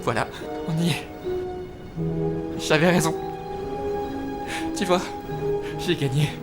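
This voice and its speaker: A man's voice: on the high side, slow. A man playing an insolent, bitter seventeen-year-old son defying his mother in a fan-dubbed TV drama, casual, sarcastic and confrontational. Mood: bitterly triumphant. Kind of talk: amateur fan dub of TV drama. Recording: music under the voice. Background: music.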